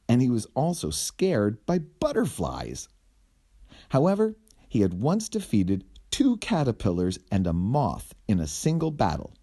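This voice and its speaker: Man, children's story reader, theatrical, slow, very lively intonation, deliberate phrasing. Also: on the low side, breathy edge. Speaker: storyteller reading a picture book aloud. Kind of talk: animated children's storybook narration.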